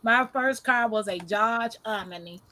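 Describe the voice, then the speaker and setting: A woman hosting a casual live-stream chat, talking loudly and laughing often as she tells stories. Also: takes it slowly. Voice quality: says she has a deep voice. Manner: loud, animated storyteller who laughs often. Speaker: livestream host.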